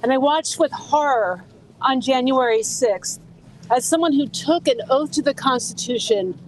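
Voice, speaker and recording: A woman's voice: high voice, expressive, measured pace, slight background noise, rough recording. A woman speaking earnestly and indignantly at an outdoor press conference. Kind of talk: press statement. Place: outdoors on a city street.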